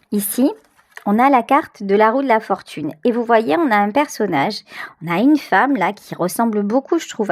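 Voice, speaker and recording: A woman's voice: medium pitch, no room sound. A woman hosting a tarot reading, talking conversationally to the viewer and feeling her way through phrases with emphatic repetitions. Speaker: tarot reader, channel host. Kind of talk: intuitive tarot reading, improvised commentary addressed to the viewer.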